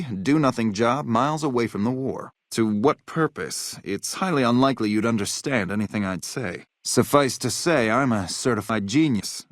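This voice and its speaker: A man's voice: mid-range voice, no room sound, studio quality. A man voicing an arrogant, lazy genius soldier in a video game, pompous and pedantic in manner. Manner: pompous, condescending, pedantic wording. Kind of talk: scripted game character dialogue.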